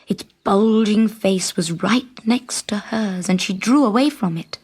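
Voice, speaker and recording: A woman reading a children's story aloud in an expressive, deliberate storytelling style. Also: medium pitch, husky. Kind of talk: scripted children's story narration.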